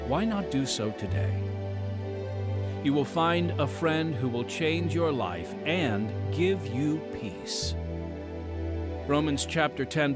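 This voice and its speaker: A man hosting and narrating a scripted radio program with deliberate, dramatic phrasing. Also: mid-range voice. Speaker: podcast host and announcer. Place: studio voice-over. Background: music.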